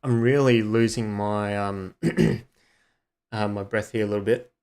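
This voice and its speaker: Slightly hoarse, rambling male streamer. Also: on the low side, steady tone, takes it slowly, darkish tone. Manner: rambling, many ums and uhs. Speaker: gaming streamer. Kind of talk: casual live-stream chat.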